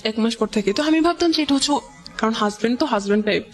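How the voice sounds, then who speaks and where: A woman with a Bangladeshi accent telling her personal story as an interview guest, haltingly, often quoting past conversations. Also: fairly high pitch, animated. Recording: music playing behind the voice.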